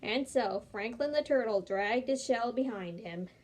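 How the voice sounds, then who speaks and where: Young woman storyteller, gentle, expressive, fairly high voice. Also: unhurried. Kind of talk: reading a picture book aloud.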